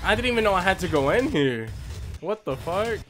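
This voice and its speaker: High, excitable young man gaming. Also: a little fast, slight background noise. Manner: loud excitable trash talk, swearing. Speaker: gamer streamer. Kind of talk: live gameplay reactions. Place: small room at home, indoors.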